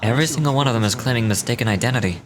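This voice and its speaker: A man's voice: mid-range voice, rapid-fire, over music, lightly acted. An actor playing a composed, authoritative male official in a video game, with chatter behind. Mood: calm, measured.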